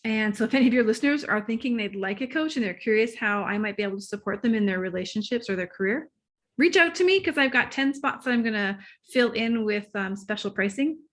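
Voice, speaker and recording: A woman guest, a relationship coach, talking in a casual interview. She is excitable, laughs easily and sometimes loses her train of thought. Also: fairly high pitch, quick pace.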